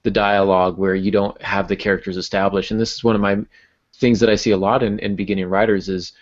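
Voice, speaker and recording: Male podcast host, low register, casual conversational delivery, slightly muffled lo-fi audio. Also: level tone, fast.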